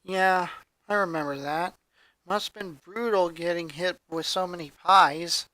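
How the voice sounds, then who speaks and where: A story reader voicing a blunt but secretly caring young girl, with hushed, questioning delivery. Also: medium pitch, fairly even intonation, measured pace, no echo, rough recording. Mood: curious, wry.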